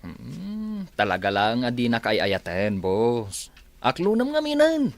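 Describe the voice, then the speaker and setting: A man's voice: medium pitch, wildly swooping pitch, slow pace, creaky, a little hiss or hum, heavily acted. A man playing a cheeky, contented sidekick who teases his boss in an Ilocano radio comedy drama. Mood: teasing. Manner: teasing, chuckling.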